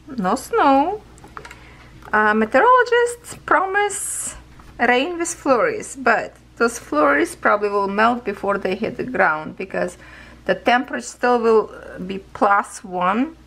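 Slow-talking hoarse woman chatting. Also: on the high side, fairly bright tone. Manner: rambling, chatty, loose grammar. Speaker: craft channel host. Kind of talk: casual chat while stitching beads. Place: at home, seated with canvas on lap.

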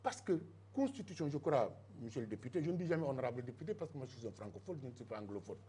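Senegalese guy arguing on a tv panel, husky and a bit high, clip mic in studio. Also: darkish tone. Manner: emphatic, mixing Wolof and French. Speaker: political guest. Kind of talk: televised political debate. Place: TV studio set. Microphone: lapel.

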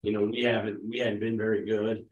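A man in his forties, a head basketball coach, answering questions at a postgame press conference in a relaxed, conversational way with many fillers, speaking into a table microphone. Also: lowish voice, fairly quick, highs cut off, slight background noise. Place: press room at a team table, his children beside him.

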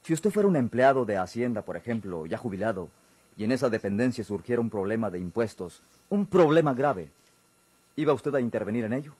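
A Mexican actor playing a stern, dismissive police chief in a golden-age radio drama, speaking formally and with curt authority. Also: male voice, medium pitch, lively intonation, fairly quick, a little mellow, husky, dry recording. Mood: firm, dismissive.

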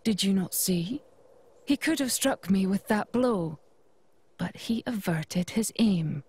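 Female game commander, husky, deliberate, composed, formal, proud, calculating. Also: mid-range voice, expressive, a little mellow. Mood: slighted, musing. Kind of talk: video game cutscene acting.

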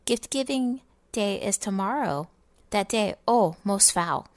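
A woman's voice: medium pitch, lively intonation, slow pace, no echo. A woman voicing a grumpy, bossy rich male goblin in a storybook, with a sneering growl. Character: grumpy, snarly rich male goblin who hates holidays.

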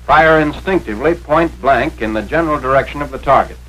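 A man's voice: a little high, brisk, bright, forceful tone. An American man narrating a scripted 1940s instructional film in an authoritative, carefully enunciated way, as if teaching step by step. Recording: noisy 1940s film soundtrack, radio-like.

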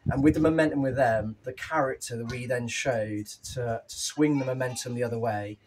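A young man talking after a football match as the team's manager, a little out of breath, animated and candid, with many fillers and restarts. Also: medium pitch, fairly quick, slight background noise, poor audio. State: slightly out of breath. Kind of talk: post-match interview. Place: small clubhouse room with painted wooden walls.

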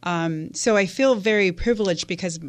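A woman from Houston, Texas, a guest on a radio interview. Her voice is smooth and clear at a middle pitch. She talks in a chatty, storytelling way and fills her pauses with "uh" and "um". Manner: chatty, storytelling, many fillers. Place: radio studio.

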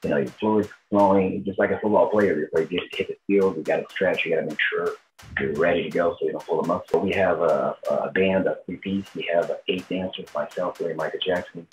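Very deep-voiced Brazilian-accented man. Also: fairly even intonation, fairly quick, slight background noise, poor audio. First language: Portuguese. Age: 30s. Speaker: tribute show performer, interviewee. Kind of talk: informal interview answers.

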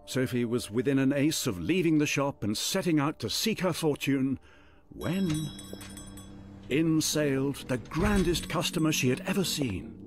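An actor narrating a fantasy tale as storyteller in a scripted radio drama. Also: male voice, fairly high pitch, animated, a little slow. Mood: storytelling. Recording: music under the voice. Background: music.

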